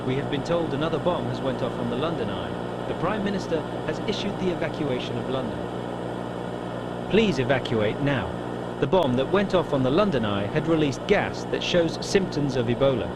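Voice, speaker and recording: A man's voice: medium pitch, animated, moderate pace, close to an everyday voice. A man playing a formal, grave television announcer reading scripted emergency bulletins. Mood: grave, urgent. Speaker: broadcast announcer. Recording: very noisy, loud background ambience.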